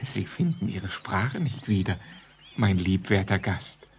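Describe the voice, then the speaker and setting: An actor playing a proud, effusive provincial antiquarian in middle age, florid and courteous, in a German radio play. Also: male voice, low-pitched, lively intonation, takes it slowly, darkish tone, lo-fi sound, a little hiss or hum, full character voice. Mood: proud, excited.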